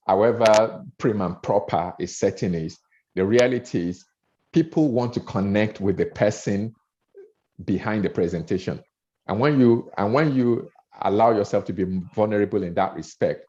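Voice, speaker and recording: A man's voice: on the low side, moderate pace, dark tone. Nigerian man coaching speakers, lo-fi. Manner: earnest, repeats words and restarts often, rising emphasis on key points. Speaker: speaker trainer. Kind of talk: coaching talk on public speaking. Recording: dull lo-fi audio.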